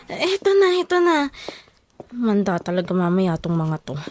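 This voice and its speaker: A woman acting a feisty, flustered young woman with a tender side, expressive and colloquial, in a romantic audio drama. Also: mid-range voice, normal speed, slight background noise, lightly acted. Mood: flustered, annoyed. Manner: expressive, colloquial, exasperated yet affectionate.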